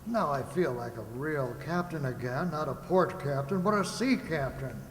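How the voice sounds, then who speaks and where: A man's voice: on the high side, expressive, moderate pace, close to an everyday voice. A man playing a kindly, hearty sea captain in a children's radio play. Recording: echoey hall, faint noise.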